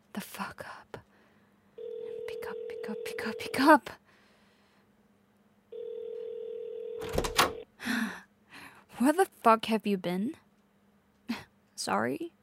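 A woman's voice: medium pitch, a little mellow, no room sound, lightly acted. A woman playing a possessive, volatile, manipulative girlfriend in an intense audio roleplay, addressing the listener directly. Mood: impatient, seething. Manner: intense, swearing, swinging between pleading and menace.

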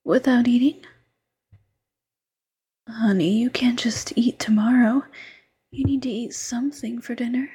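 A woman voicing a doting, teasing fox girlfriend who mothers her partner, in soft coaxing whispered roleplay. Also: a little high, steady tone, unhurried, pauses often, breathy edge.

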